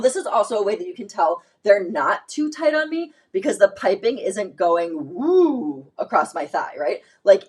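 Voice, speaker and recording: A woman with a slightly low voice talks in a chatty, emphatic, informal way, like a host of a live clothing try-on. Her voice is going a little from a lingering cold, and her delivery stays casual and conversational. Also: breathy edge.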